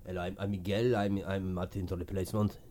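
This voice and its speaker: A man voicing an odd foreign male stand-in producer with a thick put-on accent, halting and full of fillers. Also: fairly low pitch, darkish tone, natural voice. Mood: deadpan. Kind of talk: improvised comedy podcast character.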